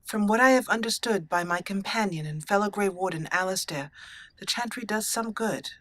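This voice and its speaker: A woman voicing a proud, dignified exiled noblewoman warrior in a roleplayed video game, speaking formally and deliberately. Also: mid-range voice, fairly bright tone, no echo, close to an everyday voice. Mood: earnest, persuasive.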